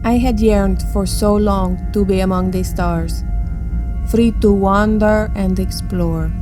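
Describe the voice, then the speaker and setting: A woman playing a proud, guarded alien fleet admiral in a sci-fi audio drama, speaking in a measured, authoritative way. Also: medium pitch, natural voice.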